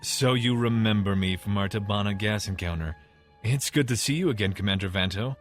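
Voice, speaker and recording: Low, courteous smuggler boss played by a man. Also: fairly quick, soft background music, lightly acted. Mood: genial. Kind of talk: scripted comic dub acting.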